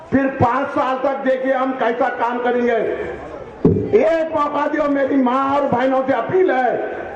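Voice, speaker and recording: A man with a Hyderabadi Deccani accent giving an impassioned, exhortative political speech at a public meeting, repeating his appeals in colloquial phrases. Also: very high-pitched. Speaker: political speaker. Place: public meeting, loudspeaker address. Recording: echoing PA system, dull lo-fi, crowd.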